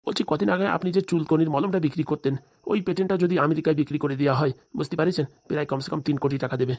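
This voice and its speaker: A woman voicing a smooth-talking, scheming male fixer, boastful and persuasive, with a rural Bengali accent in a comedy cartoon. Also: deep voice, steady tone, rapid-fire, a little mellow.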